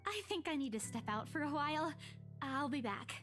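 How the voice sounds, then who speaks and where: A woman's voice: sits high, animated, a bit bright, soft background music. Anxious young saleswoman. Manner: hesitant, halting. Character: anxious young female saleswoman, shaken and apologetic. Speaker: visual novel character.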